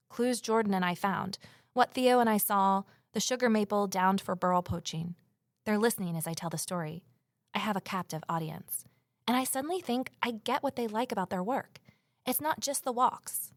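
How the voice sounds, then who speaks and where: An actress playing a determined, persuasive amateur sleuth in an audio drama, earnest in both dialogue and first-person narration. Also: female voice, medium pitch, normal speed, dry recording, close to an everyday voice. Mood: reflective.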